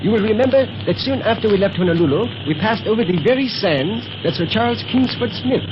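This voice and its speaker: A genial secret agent leader, animated and fairly high, teasing and assured. He has 1930s radio serial delivery, with muffled, noisy sound. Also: fairly quick. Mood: genial, explaining. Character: genial, steady secret agent uncle in charge.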